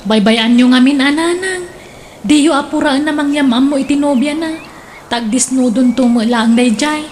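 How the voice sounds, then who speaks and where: A woman's voice: high voice, normal speed, darkish tone, a little hiss or hum, natural voice. A woman playing a gossipy young adult elder sister in a comedic radio drama, chatty and mildly scolding but protective.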